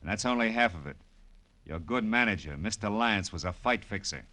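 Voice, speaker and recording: A man's voice: fairly low pitch, animated, a little fast, bright, forceful tone. An actor playing a tough, wry private detective in a 1940s radio drama, clipped and sardonic, slinging slang as he talks and narrates. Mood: blunt, wry. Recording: vintage radio broadcast with faint music.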